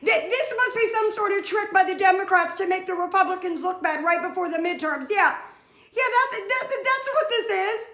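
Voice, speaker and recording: Woman acting shrill, hysterical, whiny mother. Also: moderate pace, fairly bright tone, roomy sound. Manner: wailing, pleading, indignant. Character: hysterical, whiny, gullible middle-aged mother in denial. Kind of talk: comedy skit dialogue.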